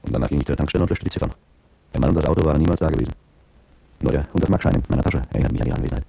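Gravelly, brooding petrol station attendant. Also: male voice, a bass voice, very fast, dark tone, no echo, heavily acted, low-quality recording. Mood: tense recollection. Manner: brooding, confessional first-person narration. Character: intimidated petrol station attendant, bitter, fearful ex-soldier. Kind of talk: German radio drama monologue.

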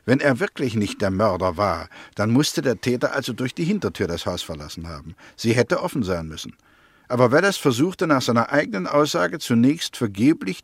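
An actor playing a skeptical, courteously ironic opposing barrister in a 1960s German radio play, speaking formally and pointedly. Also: male voice, mid-range voice, creaky, slightly rough, no room sound, clean studio sound, full character voice.

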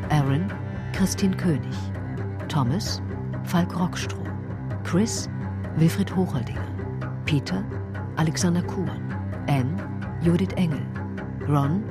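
A woman reads scripted credits as an announcer. Her voice is very deep and very slow, over prominent guitar music.

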